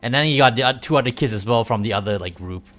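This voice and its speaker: A man's voice: mid-range voice, fast, fairly bright tone. A man giving casual, rambling livestream game commentary, chatting informally with some swearing. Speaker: game streamer. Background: game music.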